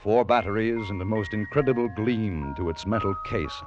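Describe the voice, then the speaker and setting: An actor as a deep-voiced wistful man remembering boyhood. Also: close to an everyday voice. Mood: reflective. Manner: reflective, measured, wistful. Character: grown man nostalgically recalling his boyhood adventure. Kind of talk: 1940s radio drama narration. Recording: muffled old-time radio recording. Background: music.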